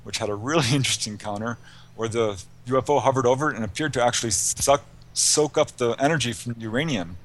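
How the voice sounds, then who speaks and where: A man speaks in a medium-pitched voice as a guest in an interview. He answers conversationally, explaining and telling accounts in an informal way. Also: quick pace, fairly bright tone. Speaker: guest, UFO researcher.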